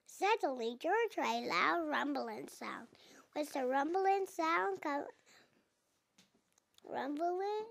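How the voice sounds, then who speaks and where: A four-year-old girl reading a picture book aloud, haltingly, sounding out and repeating words. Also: sits high, animated, gravelly. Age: young child, four and a half. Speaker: child reader.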